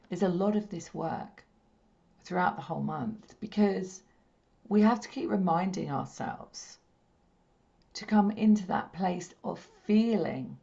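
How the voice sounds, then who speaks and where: A woman with a slightly croaky, hoarse throat, leading a spiritual healing session in a gentle, repetitive, soothing way. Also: fairly low pitch. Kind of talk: slow meditative teaching talk. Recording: dull lo-fi stream audio.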